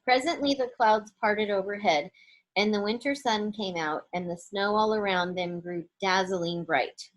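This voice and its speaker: A woman's voice: medium pitch, a little slow. A woman reading a children's story aloud as a narrator. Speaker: storybook reader.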